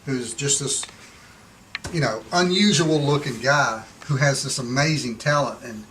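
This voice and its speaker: A man's voice: medium pitch, unhurried, noisy background. A man working as a booking agent, speaking in an interview with an earnest, admiring storytelling manner. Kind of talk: interview talk.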